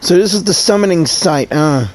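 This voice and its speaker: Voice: mid-range voice, normal speed, a little mellow, rough recording. A gamer speaking casually along with a video game, wry, reluctant and joking.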